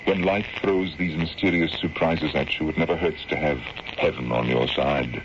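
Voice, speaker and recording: A man's voice: a bass voice, steady tone, normal speed. An actor playing an anxious, grieving middle-aged colonel and protective father, formal and troubled, in an old-time radio drama. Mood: grave, worried. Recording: dull, noisy old radio broadcast recording.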